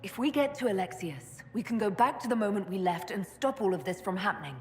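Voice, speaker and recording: An actress playing an earnest, determined and caring heroine in a video game, speaking directly. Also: female voice, mid-range voice, fast talker, long reverb tail, soft background music, natural voice. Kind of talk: video game cutscene acting.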